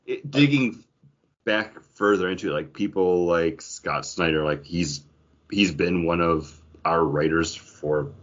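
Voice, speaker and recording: A man with a low-pitched voice co-hosts a casual podcast discussion. He explains his thoughts conversationally, as in a group chat. The audio is slightly dull and band-limited. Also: a little slow, fairly bright tone. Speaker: podcast co-host.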